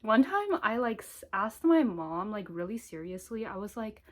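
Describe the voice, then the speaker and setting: Casting: woman, 20s, American accent, mid-pitched voice, casual vlogger delivery, informal and giggly. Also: big pitch swings, normal speed. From United States. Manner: informal, giggly, frequent "like" asides. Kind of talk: casual chatty introduction to camera. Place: small home office and craft room.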